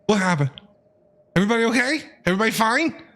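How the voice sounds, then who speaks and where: A man's voice: high-pitched, expressive, quick pace, a bit bright. A man livestreaming a game, reacting in casual, blunt slang and often repeating himself. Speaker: game streamer.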